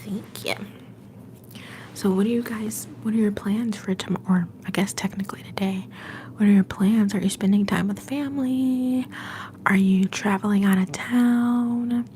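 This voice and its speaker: A young woman hosting a livestream from home, whispering softly and affectionately to her viewers. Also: medium pitch, fairly even intonation, moderate pace, a little hiss or hum. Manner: soft whisper, affectionate and encouraging. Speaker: livestream host.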